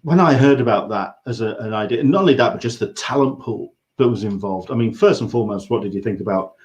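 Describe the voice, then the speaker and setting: A man from England with an English accent hosting a casual interview, haltingly searching for his words. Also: fairly low pitch. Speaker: interview host.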